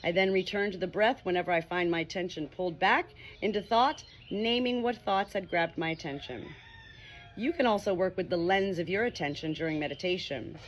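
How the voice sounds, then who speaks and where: A woman reading a meditation book aloud as scripted narration, in a measured, expressive way. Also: lowish voice, a little hiss or hum. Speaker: audiobook reader.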